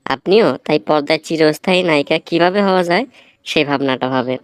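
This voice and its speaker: A man's voice: on the high side, quick pace, clean tone, dry recording, studio quality, lightly acted. A man voicing a blunt, teasing film writer who lectures sardonically in a Bangladeshi accent, in a comic cartoon. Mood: lecturing.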